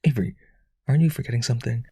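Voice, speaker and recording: Guy doing a rich yandere vampire for audio rp, super husky and creaky, desperate, devoted, coaxing, mic close and dry. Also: mid-range voice, fairly even intonation, dark tone, no echo. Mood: tender. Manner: intense, coaxing, begging, halting. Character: Skylar, powerful rich male vampire aristocrat, desperately devoted. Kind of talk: roleplay audio acting.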